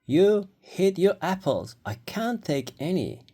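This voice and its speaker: A reader voicing excitable, whiny schoolchildren in a children's storybook, exclaiming and pleading. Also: medium pitch, slow, voice pushed up, full character voice. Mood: indignant. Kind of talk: children's storybook read-aloud.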